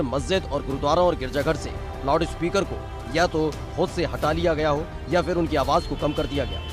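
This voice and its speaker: Male TV news narrator, slightly high voice, dramatic read, music bed beneath. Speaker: news anchor. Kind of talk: scripted news voice-over.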